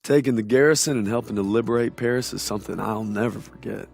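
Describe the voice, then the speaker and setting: An American soldier's after-action narration in a war game. He is earnest and reflective, with an American accent and a creaky low voice. Faint music runs behind the dry voice-over. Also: quick pace, a little mellow. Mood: reflective, determined.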